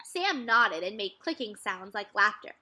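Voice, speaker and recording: A young adult woman reads a children's story aloud as a teacher, with expressive, clearly phrased narration. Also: medium pitch, unhurried. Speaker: teacher reading aloud to second graders. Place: furnished room at home, indoors.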